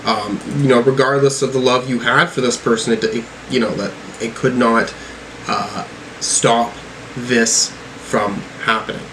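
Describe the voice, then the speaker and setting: A man giving casual, off-the-cuff music commentary as a reviewer, in a halting, informal way with some swearing. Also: mid-range voice, steady tone, fairly bright tone, a little hiss or hum, low-quality recording.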